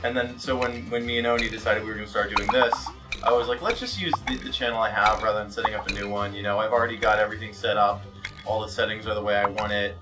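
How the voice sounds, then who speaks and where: A man rambles casually as he plays a game, with music clearly behind him. His talk is halting, circling and peppered with swearing, in the loose style of a let's play host. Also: lowish voice, level tone, distant mic. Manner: foul-mouthed, rambling, halting. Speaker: gaming video host.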